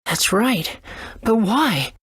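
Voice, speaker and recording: A man's voice: high voice, sing-song, extremely slow, a bit bright, breathy edge, exaggerated voice. Male actor as boy hero, video game, youthful, eager, earnest, wide-eyed. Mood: bewildered. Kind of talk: English video game voice acting.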